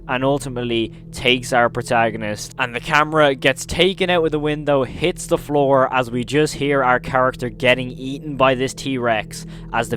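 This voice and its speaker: A man with a medium-pitched voice delivers casual commentary as a video host. He retells scary scenes in an emphatic storytelling manner, piling on intensifiers. A noticeable hum sits in the recording. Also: a bit bright.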